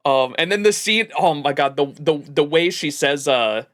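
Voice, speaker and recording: Male streamer, slightly high bright forceful voice, effusive, sweary, casual commentary. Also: lively intonation, moderate pace. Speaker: livestream host. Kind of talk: casual livestream reaction commentary.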